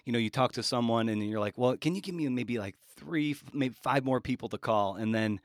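A man hosting a podcast interview who asks thoughtful questions, often halting and restarting as he speaks. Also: medium pitch, dry recording, studio quality. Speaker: podcast host. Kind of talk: conversational interview.

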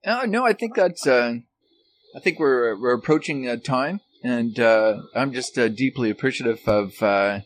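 A man co-hosting a casual chat, speaking in a hesitant, halting way. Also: medium pitch, animated, slight background noise. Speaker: podcast co-host.

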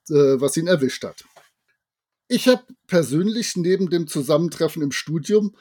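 A man's voice: a little high, darkish tone, dry recording, studio quality. A man co-hosting a podcast, talking in a wordy, jocular way with colloquial asides. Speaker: podcast co-host. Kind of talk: chatty podcast narration.

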